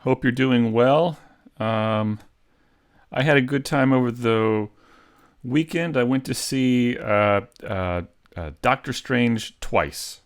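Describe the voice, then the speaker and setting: A man hosting a casual tutorial livestream, thinking aloud in a hesitant way with long pauses. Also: mid-range voice. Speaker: livestream host teaching 3D modeling.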